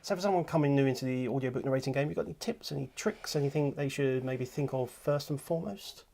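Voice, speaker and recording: A fast-talking man hosts a relaxed studio interview. His pitch is mid-range, and he agrees readily, dropping in quick interjections. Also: gravelly, soft background music. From England. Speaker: audiobook producer, host. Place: recording studio.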